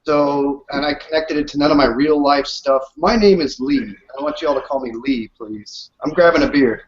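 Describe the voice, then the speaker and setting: A man's voice: on the high side, fairly even intonation, normal speed. A man joining a video call as a guest, joking and chatty. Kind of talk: casual chat. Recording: dull call audio with faint noise and clicks.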